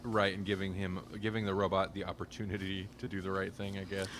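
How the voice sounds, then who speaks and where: A man's voice: sits low, level tone, fast, fairly bright tone. A man co-hosting a podcast, talking through a casual review in a hedging, think-aloud way. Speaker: podcast co-host.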